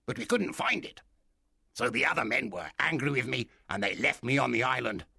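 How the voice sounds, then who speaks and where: A man voicing a wild, excitable old castaway who pleads eagerly in rising exclamations, with a raspy, gravelly voice. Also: medium pitch, a little fast, no echo, studio quality, full character voice.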